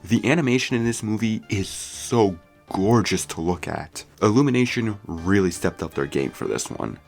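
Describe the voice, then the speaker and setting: A man's voice: fairly low pitch, expressive, normal speed, creaky, faint music behind. A man giving a scripted movie review commentary in a casual, opinionated, conversational way. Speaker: video reviewer.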